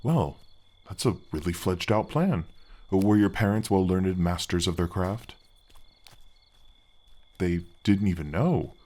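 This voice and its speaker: A big, helpful male gargoyle, acted by a man with a very deep voice, lowered by an effect. He talks softly and earnestly, as in a fantasy ASMR roleplay, with courteous, slightly hesitant phrasing that makes him a kindly, bashful guardian. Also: expressive, slow pace, long pauses, exaggerated voice. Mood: concerned, sympathetic. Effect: deep-voice pitch effect. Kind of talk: ASMR fantasy roleplay, soft conversational acting.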